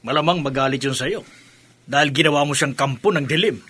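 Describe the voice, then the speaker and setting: Bright-voiced jovial male sidekick. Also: medium pitch, a little fast, breathy edge. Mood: amused, curious. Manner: jocular, inquisitive. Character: jovial, curious male drinking buddy and henchman. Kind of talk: Philippine horror radio drama acting.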